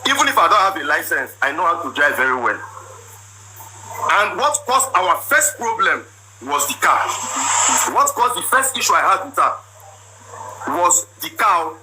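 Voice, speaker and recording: A man in his thirties with a Nigerian accent, telling his side of a marriage dispute in a loud, forceful, repetitive way. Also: on the high side, big pitch swings, normal speed, long pauses. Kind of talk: emotional personal account. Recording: noisy background.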